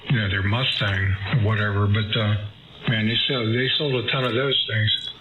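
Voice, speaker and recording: A man's voice: fairly low pitch, fairly even intonation, normal speed, narrow, tinny audio, soft background music. A man talking casually over amateur radio in an informal, rambling way. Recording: noisy amateur radio transmission.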